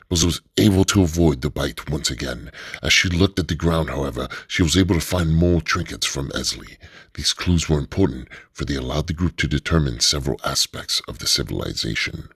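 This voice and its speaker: A man narrating a radio drama in a steady, measured storytelling manner. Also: a bass voice, breathy edge, no echo.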